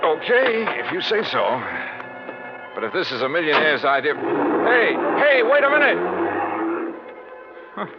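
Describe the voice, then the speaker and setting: Wry American insurance investigator, 1950s radio drama, lively swinging intonation, dull vintage recording with music. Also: male voice, a little high, a little slow, pauses often. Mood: questioning, puzzled. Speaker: lead actor and narrator. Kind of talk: 1950s radio detective drama, first-person narration and dialogue.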